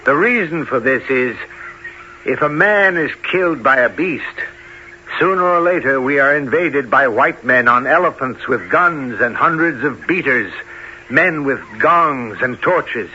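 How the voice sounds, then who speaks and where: A principled, dignified father wolf in a vintage radio play. His mid-pitched voice is measured and formal, as a teacher to his cubs. The old recording is muffled with clear noise. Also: animated, sharp tone. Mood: instructive. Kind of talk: old-time radio drama acting.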